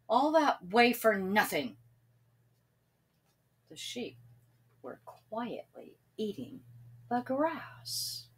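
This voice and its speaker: A woman reading a children's story aloud expressively, pausing now and then to address young listeners. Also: a little high, breathy edge. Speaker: storybook reader.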